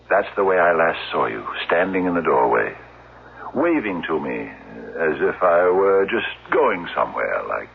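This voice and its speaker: An actor playing a brooding, unstable grown son in a 1970s radio drama, halting, theatrical and needling. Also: male voice, low-pitched, creaky, slight background noise, heavily acted. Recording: dull lo-fi old radio recording.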